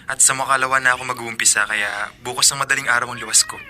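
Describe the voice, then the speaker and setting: A man's voice: mid-range voice, fairly even intonation, fast talker, bright, forceful tone. An actor playing a young man who keeps apologizing and making promises to his girlfriend. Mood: apologetic. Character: young man, absent boyfriend looking for work. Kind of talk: radio drama acting.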